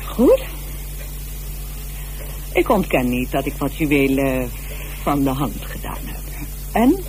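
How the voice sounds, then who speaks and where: A woman's voice: rumbling low, big pitch swings, slow pace, darkish tone, a little hiss or hum, exaggerated voice, low-quality recording. An actress playing a defensive, self-righteous wife and mother, in radio drama style. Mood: cool, evasive. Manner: haughty, insistent, breaking into agitated self-justification.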